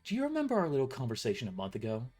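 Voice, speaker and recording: A man's voice: medium pitch, wildly swooping pitch, very fast, warm tone, slightly rough, faint music behind. A man doing a sarcastic tough young woman. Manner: sarcastic, mocking.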